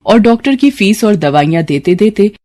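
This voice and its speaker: Lady reading a story as narrator, scripted, middle pitched voice. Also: lively intonation, moderate pace, warm tone.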